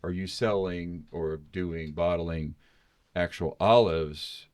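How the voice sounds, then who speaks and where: A man's voice: sits low, fairly even intonation, slow, a little mellow. A man hosting a podcast, chatting casually and enthusiastically with rambling recommendations. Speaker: podcast host.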